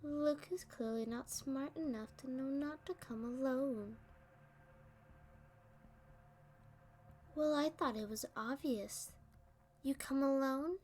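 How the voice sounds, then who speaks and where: Sassy female supervillain for ASMR roleplay, acted by a woman: high-pitched, unhurried, smugly taunting. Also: darkish tone. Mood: smug, mocking. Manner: teasing, taunting, drawn-out delivery. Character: playful, sassy, smug young female supervillain.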